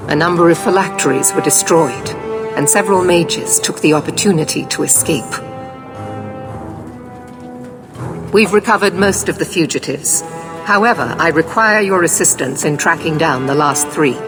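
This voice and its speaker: An actress playing a stern templar knight commander in a video game, speaking in a formal, measured, authoritative way. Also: female voice, deep voice, over music. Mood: formal, businesslike.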